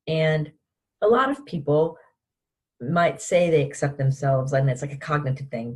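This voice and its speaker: A woman's voice: low-pitched, a little mellow, slightly rough. A woman hosting a podcast and asking long, rambling questions. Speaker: podcast host. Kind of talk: podcast interview.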